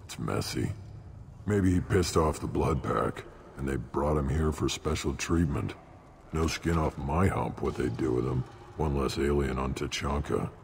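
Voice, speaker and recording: An actor playing a surly, blunt alien warrior in a video game, gruff and dismissive, with a deep gravelly voice. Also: male voice, a little slow, heavily acted. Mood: scornful, gruff. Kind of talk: video game character acting. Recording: long reverb, clear background noise.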